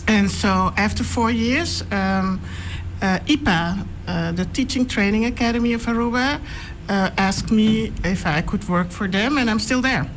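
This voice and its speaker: A middle-aged woman from Curaçao, a guest researcher answering radio interview questions in a measured, thoughtful way, pausing often to find her words. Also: medium pitch, a little hiss or hum, rough recording. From Curaçao, raised in the Netherlands. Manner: measured, thoughtful, pauses with "uh" while choosing words.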